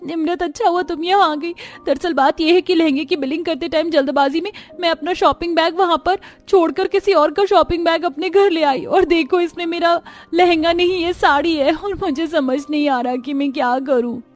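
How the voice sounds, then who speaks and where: A woman's voice: very high voice, fairly even intonation, moderate pace, hardly any pauses, faint music behind, pitched above the performer's own voice. A woman voicing an anxious, pleading young bride in a Hindi cartoon story. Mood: tearful worry. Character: distressed young bride, apologetic sister-in-law.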